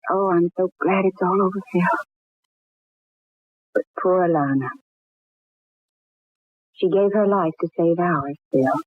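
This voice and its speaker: A tender-hearted young sweetheart from a vintage radio drama. Her voice is husky and dry, heard through a thin, tinny old recording. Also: lowish voice, fairly even intonation, slow pace, pauses often, no echo. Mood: wistful.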